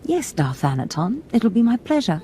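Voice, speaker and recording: A woman playing a smooth, scheming Sith noblewoman in a video game, theatrical, honeyed and polite, with mock innocence. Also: a little high, very animated, moderate pace, warm tone, a little hiss or hum. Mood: feigned innocence.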